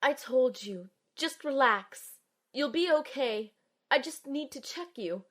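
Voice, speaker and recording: A woman acts an earnest young female healer in an audio drama. Her voice sits fairly high and carries soothing, heartfelt concern as she moves between first-person narration and dialogue. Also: lively intonation, takes it slowly, a bit bright, exaggerated voice. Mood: soothing, urgent.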